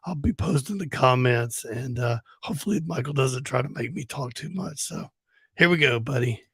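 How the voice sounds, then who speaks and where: A man co-hosting a casual overlanding talk show, hoarse and husky because he has lost his voice, speaking in a laid-back way with laughs and swearing. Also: mid-range voice, normal speed, a bit bright, dry recording. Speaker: co-host. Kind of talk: casual podcast chat.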